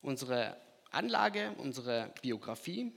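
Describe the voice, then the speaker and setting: Animated preacher in a reverberant hall. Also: male voice, mid-range voice, takes it slowly, vocal fry. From Kazakhstan. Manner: animated, swings from loud emphasis to quiet asides. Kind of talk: sermon.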